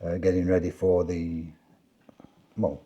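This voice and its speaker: A man's voice: low voice, monotone, a little slow, mellow, dull audio. A middle-aged man with a Lancashire accent, chatting at a home kitchen table and rambling through retold conversations. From Burnley, England. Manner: rambling, retells conversations with quoted speech. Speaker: vlogger. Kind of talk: casual kitchen table chat. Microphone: clip-on mic.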